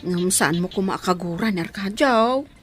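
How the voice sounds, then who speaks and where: A woman's voice: mid-range voice, a little slow, breathy edge, soft background music. A woman with an Ilocano accent acting a meddling, motherly household helper in a radio drama. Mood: coaxing.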